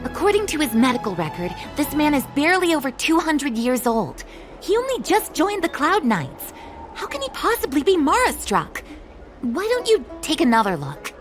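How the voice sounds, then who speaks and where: A worried, insistent young medic in a video game. The voice is high and animated, earnest and challenging, with faint music underneath. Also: female voice, normal speed.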